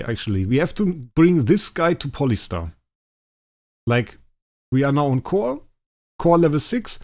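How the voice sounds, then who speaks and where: A young man, a native German speaker, casually thinking aloud as he streams a game, his sentences often trailing off quietly. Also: mid-range voice. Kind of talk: casual livestream commentary.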